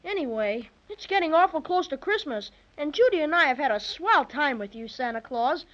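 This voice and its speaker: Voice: high-pitched, animated, normal speed, fairly bright tone. A young performer playing an earnest, polite little boy with gee-whiz delivery, in 1930s radio style. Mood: wistful. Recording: muffled vintage 1930s radio recording.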